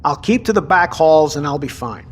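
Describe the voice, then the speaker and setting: An actor playing a gruff, impatient military commander with dry sarcasm in a naturalistic audio drama. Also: male voice, a little high, expressive, moderate pace. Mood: irritated.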